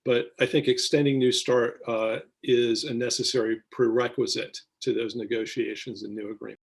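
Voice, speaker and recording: An American man with a mid-pitched, somewhat husky voice speaks as a policy analyst being interviewed. His delivery is measured and deliberate, breaking often for ums and short pauses as he lays out his commentary. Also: level tone. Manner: halting, deliberate phrasing with frequent ums and pauses.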